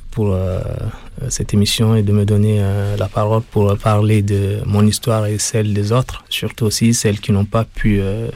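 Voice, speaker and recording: A man in his thirties from Sudan, a guest author speaking slowly and earnestly in a radio interview, with many pauses. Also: lowish voice, darkish tone, a little hiss or hum. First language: Arabic. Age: 30s.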